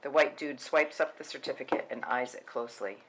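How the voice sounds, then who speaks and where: A man reads scene headings and action from a film script. His voice has a middling pitch, in scripted table-read narration, with faint noise behind it. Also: a little fast. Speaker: stage-direction narrator.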